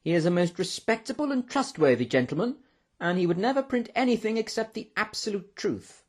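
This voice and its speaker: A man voicing an excitable, pompous professor in a storybook reading, with a patronising, melodramatic manner. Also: sits high, brisk, pitched above the performer's own voice.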